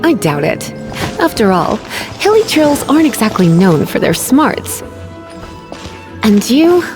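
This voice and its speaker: An actress voicing a languid, teasing, learned woman mage in a video game, with a knowing, theatrical delivery. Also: fairly high pitch. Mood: incredulous, mocking. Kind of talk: scripted video game voice acting. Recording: game music behind the voice.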